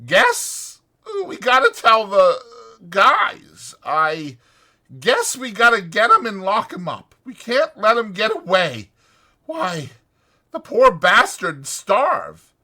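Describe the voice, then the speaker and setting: A man's voice: sits high, sing-song, slow, sharp tone, voice pushed up, heavily acted. A man voicing a weary, resigned but protective ranch worker in an audiobook, with blunt, ungrammatical rural working-man speech. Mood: grim resignation. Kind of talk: dramatized audiobook character voice.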